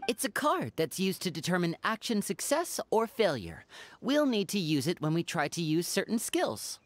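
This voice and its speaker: A young man voicing a playful stage magician in a game, smooth and teasing. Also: sits high, huge pitch swings, moderate pace, dry recording.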